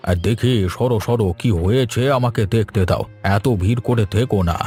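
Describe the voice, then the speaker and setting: A man's voice: mid-range voice, moderate pace, dark tone, soft background music, close to an everyday voice. A man voicing a grave, blunt cartoon doctor. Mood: grave, stern. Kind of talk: Bengali animated cartoon story dialogue.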